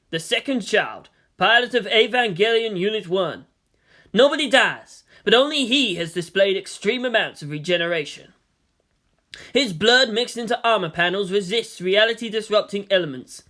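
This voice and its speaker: A man voicing a grave male general, measured and solemn, in a dramatic story reading. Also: sits high, sharp tone.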